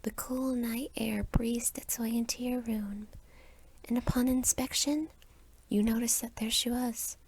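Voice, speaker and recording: A young woman narrating a scripted second-person ASMR story in a soft whisper. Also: on the high side, steady tone, unhurried, a little hiss or hum. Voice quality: whispery, breathy.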